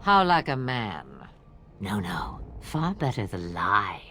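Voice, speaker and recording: An ancient witch, toys with her listener in a video game drama. She speaks very slowly and theatrically, mocking and menacing, with pitch that swings dramatically over a faint music bed. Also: sing-song, bright, forceful tone, heavily acted. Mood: taunting, imperious. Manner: theatrical, drawn-out, cryptic delivery.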